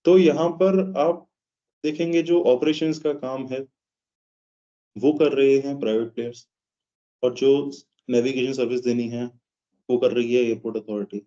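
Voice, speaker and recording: A man with an Indian accent explaining things in a measured way as an interview guest, pausing mid-phrase. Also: medium pitch, steady tone, dark tone. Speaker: interview guest, aviation professional. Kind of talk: explanatory interview answers in Hindi mixed with English. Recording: dull, lo-fi sound.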